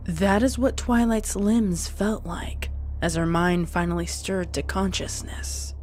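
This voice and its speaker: A woman's voice: mid-range voice, slow pace, darkish tone, slight background noise. A woman reading scripted, dramatic story narration as a narrator.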